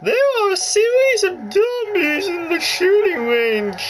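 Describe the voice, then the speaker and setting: A stiff, bossy female spy chief, put on by a man in a strained, high falsetto. The orders come slowly and drawn out, like game tutorial instructions. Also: animated, over music, rough recording.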